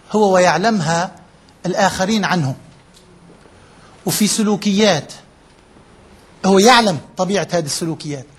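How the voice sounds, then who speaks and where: Fast husky man lecturing. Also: high voice. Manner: explains step by step, checks in with listeners often; drops English terms into his speech. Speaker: workshop trainer. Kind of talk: interactive lecture to a live group.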